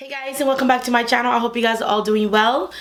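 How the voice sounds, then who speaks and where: A woman's voice: on the high side, steady tone, fast talker, fairly bright tone, soft background music. A young woman with a congested, under-the-weather voice, chatting to her viewers while reviewing and applying makeup at home. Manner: chatty, talks straight to viewers. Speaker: beauty vlogger. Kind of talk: casual makeup review and demo. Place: bedroom studio with clothing rack.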